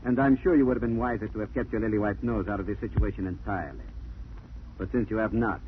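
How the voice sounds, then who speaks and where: An actor playing a cold, smug spy villain with a suave, wordy, mocking manner in a 1940s radio drama. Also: male voice, fairly low pitch, fast, natural voice. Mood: smug menace. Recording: scratchy, tinny 1940s radio broadcast.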